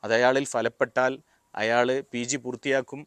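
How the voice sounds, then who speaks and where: A man giving a motivational talk, explaining deliberately in short, paused phrases. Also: mid-range voice, level tone, fairly bright tone, clean tone, no room sound, clean studio sound. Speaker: motivational speaker. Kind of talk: motivational talk with personal anecdotes.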